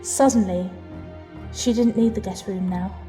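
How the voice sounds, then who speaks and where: A woman with a British accent playing a lonely, introspective woman in an audio drama, speaking intimately with deliberate pauses. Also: medium pitch, steady tone, mellow, soft background music, natural voice. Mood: tender, wondering. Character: lonely, introspective woman telling her dark love story. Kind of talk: dramatic first-person audio drama narration and dialogue.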